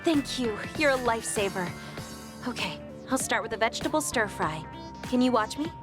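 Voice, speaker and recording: Woman acting sweet, high-voiced young game heroine. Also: lively intonation, moderate pace, close to an everyday voice. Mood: cheerful, eager. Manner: gentle, earnest, polite. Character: sweet, polite young woman, eager, guileless, vulnerable. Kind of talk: English video game voice acting. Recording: faint music behind the voice.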